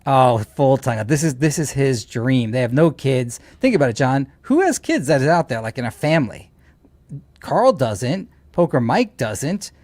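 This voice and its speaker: Man, livestream co-host, mid-pitched, dry close recording, casual talk, opinionated, teasing. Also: big pitch swings, normal speed, darkish tone, no room sound. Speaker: co-host or regular guest on a livestream. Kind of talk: casual chat.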